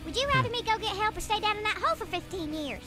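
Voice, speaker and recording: A woman's voice: very high-pitched, animated, quick pace. A woman voicing an anxious young cartoon schoolkid in trouble. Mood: anxious, bickering. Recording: loud music over cartoon playback.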